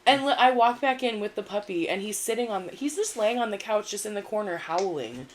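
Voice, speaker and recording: A woman co-hosting a casual podcast, telling stories with lots of expression. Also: fairly quick. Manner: animated storyteller, very expressive pitch. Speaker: podcast co-host. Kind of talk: casual chat.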